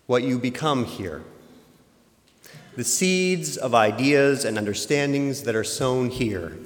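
A man chairing an alumni board, giving a slow, solemn ceremonial address. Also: medium pitch. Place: large auditorium. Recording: echoey auditorium with long reverb.

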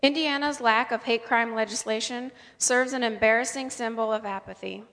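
A woman reading a scripted formal speech in an earnest, measured way. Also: on the high side, steady tone, a bit bright. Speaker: speaker at a convocation. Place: large indoor hall. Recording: reverberant hall.

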